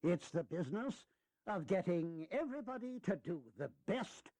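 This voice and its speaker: A man's voice: sits high, big pitch swings, moderate pace, mellow, no room sound. An actor playing a shrewd, teasing eighty-year-old statesman in a historical audio drama, lecturing in a folksy, wry way. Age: elderly.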